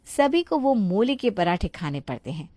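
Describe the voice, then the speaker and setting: A woman's voice: medium pitch, very animated, normal speed, dark tone, dry recording. A woman reading scripted narration for a moral story as its narrator.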